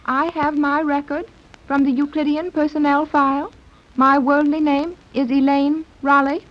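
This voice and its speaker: A woman playing a young female commander in a 1930s radio serial, speaking in a stilted, formal way with a high nasal whine. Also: flat delivery, measured pace. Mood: formal, detached. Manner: stilted, overly formal speech. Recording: dull, faintly noisy 1930s radio recording.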